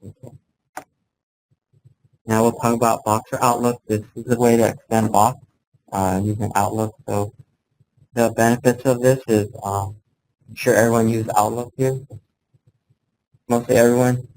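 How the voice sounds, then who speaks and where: A man giving an informal instructional talk as a software trainer, explaining plainly in a halting way and checking in as he goes. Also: medium pitch, steady tone, poor audio. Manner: halting, plain-spoken explaining, often checking in with "okay" and "right". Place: indoor room, live talk to colleagues. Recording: clearly audible background room noise.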